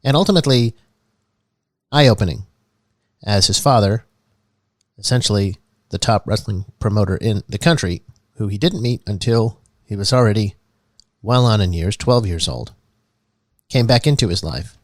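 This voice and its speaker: A man hosting a comedy podcast in a mock public-radio style, earnest and halting with many restarts. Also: lowish voice, animated, no room sound, studio quality. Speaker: host.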